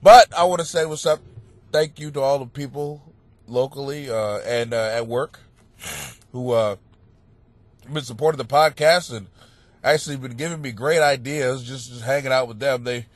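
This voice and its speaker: A man and comic hosting a podcast, with a stuffed-up nose, telling stories in a profane, animated rant that breaks into shouting. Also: medium pitch, normal speed, dry recording. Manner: animated, swearing, bursts into shouting. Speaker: stand-up comic and podcast host. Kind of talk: profane comedic rant monologue.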